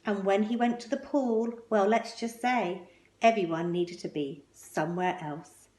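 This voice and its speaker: Woman, storybook read-aloud, slow pace, emphatic phrasing, pointed pauses, echoey room. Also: medium pitch, steady tone, a little mellow. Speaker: storyteller reading a picture book aloud. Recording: roomy, echoing indoor sound.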